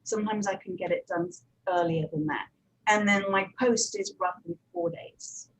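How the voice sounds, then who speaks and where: A woman's voice: medium pitch, big pitch swings, normal speed, slightly rough, low-quality recording. A woman giving an informal panel presentation as an audio producer, talking conversationally with anecdotes and digressive asides.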